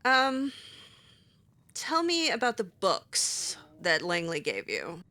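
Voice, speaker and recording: Bright-voiced sarcastic woman gamer. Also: medium pitch, huge pitch swings, takes it slowly. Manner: sarcastic, hesitant with fillers. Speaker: game streamer roleplaying her character. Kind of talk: in-character roleplay voiced over gameplay.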